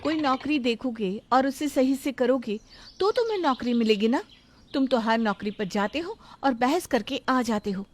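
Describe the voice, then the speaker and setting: Woman acting as young daughter-in-law, storybook drama, high voice, practical, insistent, outspoken. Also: lively intonation, normal speed. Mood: scolding. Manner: scolding, insistent.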